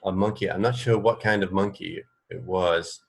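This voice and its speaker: A man co-hosting a casual radio chat, thinking aloud in a halting way. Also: low voice, fairly even intonation, creaky, husky. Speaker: radio co-host.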